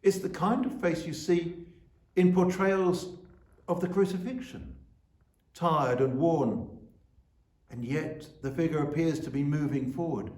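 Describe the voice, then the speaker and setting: Guy reading a solemn church reflection, really slow, sounds roomy and a bit far from the mic. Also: fairly high pitch, darkish tone. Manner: measured, solemn delivery with deliberate phrasing. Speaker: church officiant giving a reflection. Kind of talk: scripted sermon, reflective. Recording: echoey room, distant microphone.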